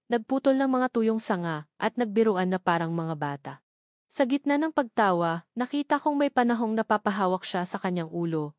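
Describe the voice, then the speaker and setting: A woman narrates a scripted love story in the first person. Her voice sits at a middle pitch. The sound is dry and free of room echo, in the manner of a dramatic audio story. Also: darkish tone.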